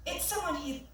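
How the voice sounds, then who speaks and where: A woman on stage playing a friendly, bookish nurse on a date, speaking in an eager, halting way in a staged play reading. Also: high voice, fairly bright tone. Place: theatre stage, recorded from the audience. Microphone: far camera. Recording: echoey theatre, distant camera, faint noise.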